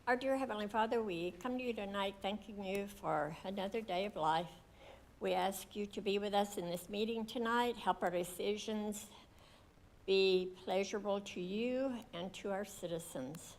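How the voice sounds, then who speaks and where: A woman's voice: medium pitch, normal speed. A woman leading an earnest, simple opening prayer at a council meeting. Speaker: prayer leader. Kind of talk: invocation prayer. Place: council chamber.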